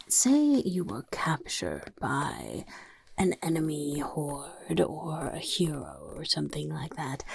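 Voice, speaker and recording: Creaky, soft-spoken goblin boss. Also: female voice, sits low, exaggerated voice. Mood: curious, musing. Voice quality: raspy, creaky, half-whispered. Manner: speaks of self in third person, muttering, chaotic. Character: scheming, self-important goblin boss interviewing horde recruits. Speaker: interviewer. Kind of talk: soft-spoken ASMR roleplay.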